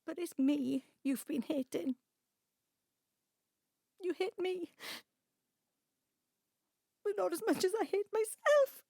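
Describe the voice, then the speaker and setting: A woman's voice: very high-pitched, lively intonation, slow pace, creaky, long pauses, warm tone, no echo, clean studio sound, heavily acted. A woman playing a guilt-ridden, defensive adult daughter with a pleading, distraught manner in an audio drama. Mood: bitter, ashamed. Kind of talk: emotional audio drama dialogue.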